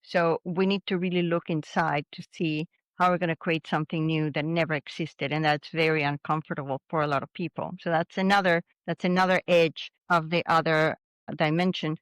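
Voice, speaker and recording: A woman's voice: low voice, flat delivery, a little fast, no echo. A woman in her sixties from Venezuela, a guest in an interview, speaking reflectively with frequent restarts. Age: 60s. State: subdued, grieving a recent loss. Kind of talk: casual interview talk.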